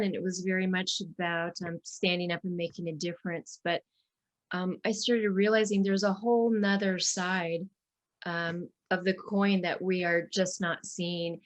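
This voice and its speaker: A woman author who reads aloud and talks in an expressive, deliberate storytelling manner. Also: mid-range voice. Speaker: children's book author. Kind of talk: picture-book read-aloud and conversation.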